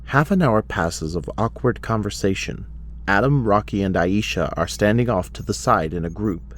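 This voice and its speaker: A man's voice: fairly low pitch, animated, normal speed, slight background noise. A man reading scripted narration for an audio drama.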